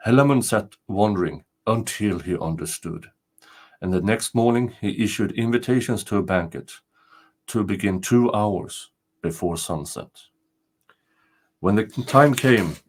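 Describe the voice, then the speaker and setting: An older man narrating a storybook tale aloud in a deliberate, dramatic way. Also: low-pitched. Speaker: storyteller reading folktales.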